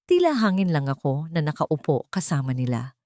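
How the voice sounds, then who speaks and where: A woman's voice: low-pitched, sing-song, normal speed, darkish tone, no echo, studio quality. A woman with a Filipino accent reading a scripted first-person dramatic story as a storyteller.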